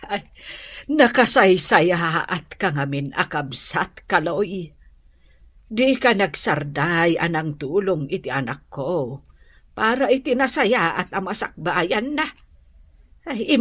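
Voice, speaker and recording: An actress playing a doting, middle-aged mother, gentle and proud, in a radio drama. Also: fairly low pitch, animated, breathy edge, heavily acted. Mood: proud, fond. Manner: motherly, unhurried.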